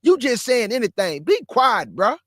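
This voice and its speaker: Male host with a high voice and dry sound, scolds in slang while reacting to clips. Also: very animated, normal speed, no room sound. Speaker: show host. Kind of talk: reaction commentary.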